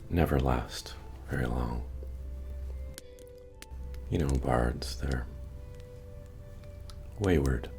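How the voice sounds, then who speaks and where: A man playing a friendly, wry medieval fantasy innkeeper in a soft-spoken ASMR roleplay, with a gravelly murmur, folksy manner and gentle joking asides. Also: exaggerated voice. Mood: welcoming, wry. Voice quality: deep, gravelly, breathy murmur. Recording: soft music playing behind the voice. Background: bard-style music.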